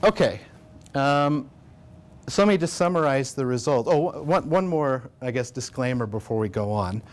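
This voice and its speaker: An American man delivering an academic talk at a conference. His delivery is relaxed and unpolished, full of fillers and self-corrections. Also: medium pitch, normal speed. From United States. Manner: relaxed, many fillers and restarts. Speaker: conference presenter. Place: conference room.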